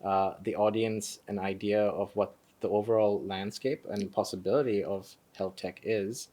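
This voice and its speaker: A man's voice: on the low side, steady tone, normal speed, warm tone. A man hosting a podcast interview, asking questions with many hesitations and fillers. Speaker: podcast host.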